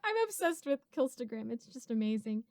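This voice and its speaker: A woman's voice: on the high side, very animated, a little fast, a little mellow, no echo, studio quality. A giggly, chatty woman with an American accent, casually answering fan questions as host. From United States. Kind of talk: casual Q&A chat.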